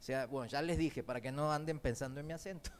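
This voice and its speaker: A man preaching a sermon in church, a native Korean speaker with a Spanish accent learned in Argentina and mixed with Mexican. He talks in a lively way, joking and asking the congregation questions. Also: on the high side, fast, slight background noise. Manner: lively, jokes, asks the congregation rhetorical questions. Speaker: visiting preacher.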